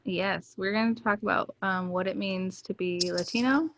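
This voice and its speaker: A woman's voice: mid-range voice, normal speed, a bit bright, a little hiss or hum. A young woman from the United States hosting a podcast, chatty and casual, laughing easily. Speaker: podcast host. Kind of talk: casual conversation.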